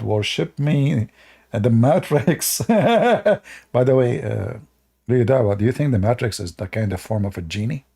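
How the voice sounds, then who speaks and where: A man's voice: a little high, warm tone. A man hosting a reaction video, sarcastic and laughing often as he mocks a clip, speaking in broken English. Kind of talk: mocking reaction commentary.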